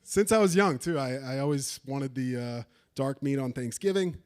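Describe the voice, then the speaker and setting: A man's voice: medium pitch, normal speed, darkish tone, smooth. A man doing a crude stand-up set on a theater stage, teasing and bantering with the audience. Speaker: stand-up comedian. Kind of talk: stand-up set with crowd work.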